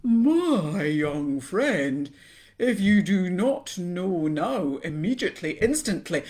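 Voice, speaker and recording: A woman's voice: fairly low pitch, very animated, slow pace, a little mellow. A woman voicing a pompous, bossy, wordy python in a storybook, speaking emphatically. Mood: urgent, pompous. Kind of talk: animated children's storybook character voice.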